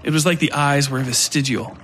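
A man narrating scripted dark horror fiction as a podcast storyteller. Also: fairly high pitch, fairly even intonation, a little fast, a little hiss or hum.

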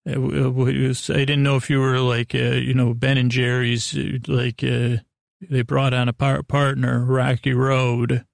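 Man, podcast host, fry-heavy voice, dry sound, meandering, stammering, absurd improvised rambling, mock-earnest. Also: medium pitch, level tone, no room sound. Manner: meandering, halting, stammering, trails off and restarts. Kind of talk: rambling improvised monologue addressed to an imaginary guest.

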